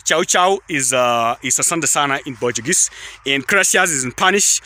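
Bright-voiced Kenyan man vlogging outdoors. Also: mid-range voice, animated, moderate pace. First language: Kalenjin. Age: young adult. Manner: rambling, repetitive, keeps saying guys. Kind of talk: casual selfie vlog. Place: outdoors in a tea field. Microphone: phone at arm's length.

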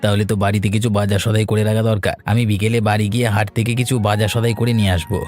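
Man playing a deep, quick village farmer. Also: level tone, darkish tone, natural voice. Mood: concerned. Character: anxious, deferential younger village farmer man. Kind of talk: cartoon story dialogue. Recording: background music bed.

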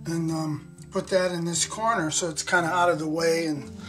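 A man's voice: a little high, steady tone, normal speed, over music. A man casually retelling a story and explaining his do-it-yourself work, in a plain, rambling, folksy way. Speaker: vlog co-host, handyman husband.

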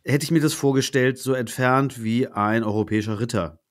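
A man's voice: medium pitch, a little fast. A German man co-hosting a history podcast, asking rambling questions and thinking aloud with hesitations. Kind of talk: casual history podcast chat.

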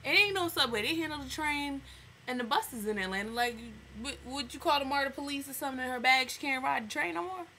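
A young American woman telling stories in a casual vlog, with an animated, sassy, slangy manner, acting out reactions as she goes. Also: sits high, fast, a little hiss or hum. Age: young adult. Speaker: vlogger. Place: small indoor room at home.

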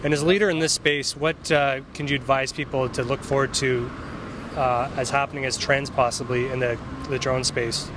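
A man's voice: mid-range voice, little pitch movement, moderate pace. A man in his thirties interviewing someone outdoors in a promotional style, speaking into a handheld microphone. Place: outdoors on a busy city street. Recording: clear street and traffic noise.

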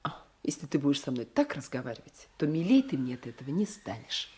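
An actress playing a scolding, practical young peasant wife in a 1980s Soviet radio drama, reproachful and sighing, on a noisy old recording. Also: female voice, rumbling low, big pitch swings, fairly quick.

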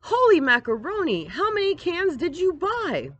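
A woman's voice: extremely high pitch, huge pitch swings, normal speed, dry recording, dull audio, pitched above the performer's own voice, heavily acted. A woman voicing a hot-headed young girl in an emphatic storybook read-aloud. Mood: incredulous, alarmed. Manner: emphatic, exclaiming. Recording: outdoor ambient noise, device microphone.

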